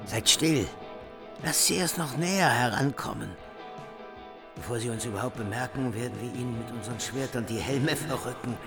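A man playing a hushed, grim warrior. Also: mid-range voice, normal speed, close to an everyday voice. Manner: hushed, urgent. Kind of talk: German radio play acting. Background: music.

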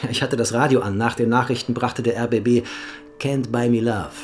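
An actor playing a courteous but guarded clinic doctor in a radio drama, measured and careful. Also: male voice, mid-range voice, fairly even intonation, faint music behind, lightly acted.

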